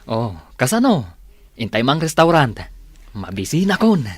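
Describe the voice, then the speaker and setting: A man's voice: medium pitch, big pitch swings, unhurried, husky. A man with a Filipino Ilocano accent playing an eager, persuasive young boyfriend in a radio drama. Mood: friendly.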